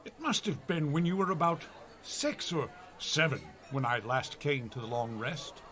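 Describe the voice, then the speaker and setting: Man playing a bright-voiced, forceful old dwarf fighter. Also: a little high, animated, normal speed. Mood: reminiscing. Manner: avuncular, plain-spoken. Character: old dwarf fighter, seasoned, humble mentor. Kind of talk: comedy radio play acting.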